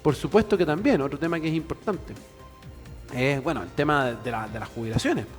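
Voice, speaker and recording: A man's voice: a little high, brisk, long reverb tail, soft background music. A man talking earnestly on a TV show, speechlike and full of fillers. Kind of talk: earnest studio talk.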